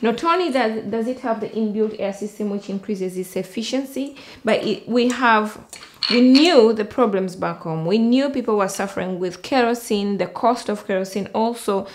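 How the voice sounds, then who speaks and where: A middle-aged woman with a Ugandan accent, explaining and demonstrating a product she invented, halting often with ums and restarts. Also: mid-range voice, a little hiss or hum.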